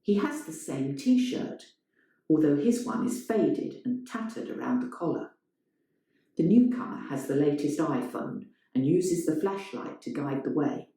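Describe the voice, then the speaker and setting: A woman's voice: sits low, lively intonation, a little slow, dark tone. A woman reading a scripted story as dramatic narration. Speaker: reader of a play-like story. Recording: very echoey, reverberant room.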